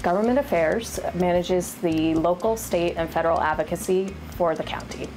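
A woman in her forties from Rhode Island, a county government affairs manager answering quick interview questions in an earnest, plain-spoken way. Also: lowish voice, a little mellow, a little hiss or hum, low-quality recording. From Rhode Island, USA. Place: office, indoors. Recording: background music underneath.

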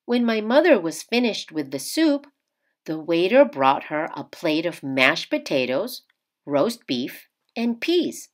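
A woman reads a picture book aloud for children at an unhurried pace. Her voice sits a little low but swings widely in pitch, with expressive, careful storytelling.

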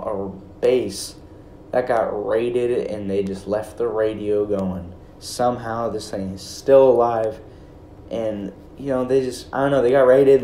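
A man's voice: mid-range voice, dark tone. A young American man rambling casually and hesitantly through an informal commentary. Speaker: video creator. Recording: roomy echo, faint background noise.

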